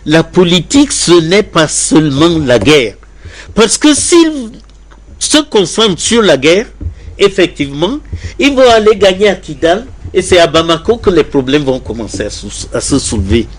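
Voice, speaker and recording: Man on a debate panel, highish voice that swings a lot, deliberate, repeats points to stress them. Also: very animated. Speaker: panelist in a pan-African debate. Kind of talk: political debate commentary.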